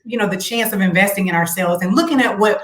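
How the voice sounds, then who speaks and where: A woman's voice: mid-range voice, fast talker, fairly bright tone, roomy sound, slight background noise. A woman singer being interviewed, telling her story in an earnest, reflective way with faith-filled words. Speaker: singer and guest. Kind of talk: casual interview chat.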